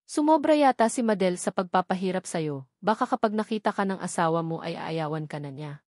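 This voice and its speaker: A man's voice: sits high, expressive, fairly quick, no echo, clean studio sound. A woman voicing a menacing, vengeful male crime boss who taunts and sneers in a storybook reading. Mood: taunting, menacing.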